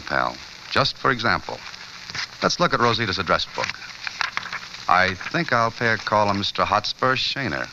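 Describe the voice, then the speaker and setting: A man's voice: low voice, highs cut off. A man acting a terse, brooding American newspaperman in an old radio drama, wry and pressing in his questions. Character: hard-boiled, brooding newspaperman investigating a young woman's death. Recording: noisy old radio recording, steady hiss.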